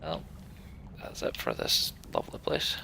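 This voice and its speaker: A man's voice: measured pace, pushed and bright, a little hiss or hum. A man hosting a voiced game playthrough, reading the story text with wry joking asides. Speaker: let's play host.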